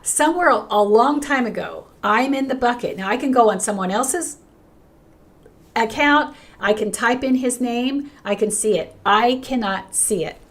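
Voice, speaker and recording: A woman's voice: on the high side, normal speed. An older woman pastor hosting a livestream, talking earnestly and reading out viewer comments while she is losing her voice. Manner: earnest and emphatic, with frequent restarts and a lively rise when greeting viewers. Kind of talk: unscripted livestream talk, reading chat comments.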